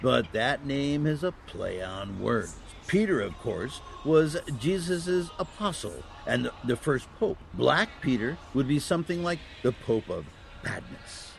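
Kindly, elderly Saint Nicholas male actor for a family audio drama: gravelly, lively, avuncular. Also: medium pitch, unhurried, hardly any pauses, slight background noise. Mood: patient, kindly. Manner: avuncular, patient explaining with chuckles and hesitations.

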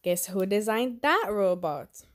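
A woman voicing a patient, knowing mother in a picture book, explaining in a measured way. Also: medium pitch, expressive.

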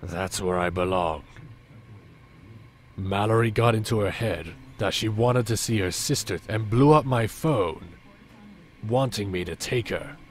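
An actor playing a gruff, regretful boss who confesses and advises in an audio drama. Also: male voice, lowish voice, measured pace, lightly acted. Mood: heavy, regretful.

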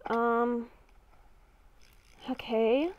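A woman playing a confused, exasperated young werewolf-vampire hybrid in an improvised game roleplay, thinking aloud in a halting, dramatic way. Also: a little high, fairly even intonation, no echo, highs cut off, pitched below the performer's own voice. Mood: lost, puzzled. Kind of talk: improvised Minecraft roleplay narration while playing.

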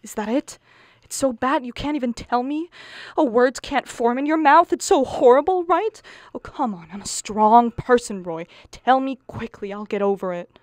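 A woman's voice: a little high, animated, moderate pace, no echo, clean studio sound, lightly acted. A woman playing an anxious, bickering middle-aged wife and exasperated mother in a radio comedy play, pleading and arguing melodramatically. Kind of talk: stage comedy acting for radio.

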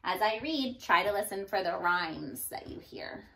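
A woman in her thirties giving an expressive storybook read-aloud for young children, like a teacher addressing her class. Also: medium pitch, measured pace, creaky, poor audio. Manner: animated, addressing young children, sounding out rhymes. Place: small indoor room.

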